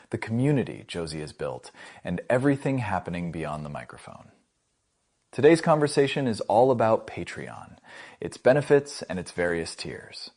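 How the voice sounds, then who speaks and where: A man's voice: on the low side, darkish tone. A man hosting a podcast, reading a polished, playful introduction and then interviewing his guest. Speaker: podcast host. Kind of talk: scripted show introduction and interview.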